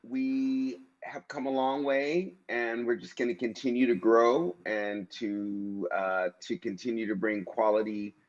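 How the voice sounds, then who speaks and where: A man hosting a casual live stream, easygoing and halting, with frequent fillers. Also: mid-range voice, fairly even intonation. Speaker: show host. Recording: dull lo-fi sound.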